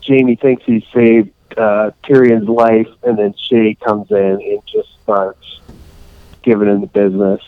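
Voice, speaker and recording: A man hosting a casual podcast, rambling through a recap and acting out scenes in quick put-on voices. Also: on the low side, clear, resonant tone, no echo, narrow, tinny audio. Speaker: podcast host.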